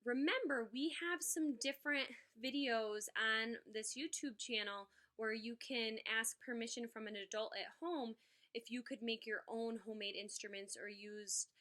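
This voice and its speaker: Bright-voiced woman reading to children. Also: on the high side, a little slow. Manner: expressive, explanatory, addressing young children. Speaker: music teacher reading aloud to pupils. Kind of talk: children's storybook read-aloud with asides.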